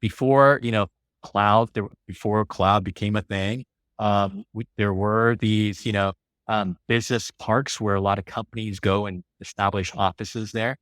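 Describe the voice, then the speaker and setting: A man's voice: fairly low pitch, steady tone, measured pace, fairly bright tone, no room sound. A man in his forties with an American accent, telling his life story as a podcast guest, casual and rambling with many fillers. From California.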